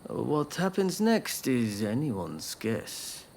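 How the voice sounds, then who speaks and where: A cunning feudal schemer in a video game, unhurried but with a very varied, expressive pitch. He sounds knowing and insinuating, with faint noise in the background. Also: heavily acted. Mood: sly composure. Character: calm, cunning political schemer in feudal Japan. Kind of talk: dramatic video game dialogue.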